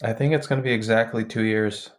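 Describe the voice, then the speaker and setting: Low-pitched man in casual conversation. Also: fairly even intonation, fast. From New Jersey, United States. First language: Polish. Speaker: podcast guest. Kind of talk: casual chat.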